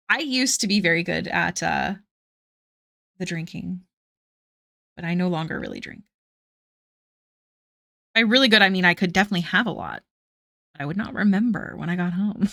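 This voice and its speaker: A woman's voice: lowish voice, very animated, moderate pace, creaky, pauses often, pushed and bright. A young woman chatting casually with viewers while drawing on a live stream, giggly and self-deprecating.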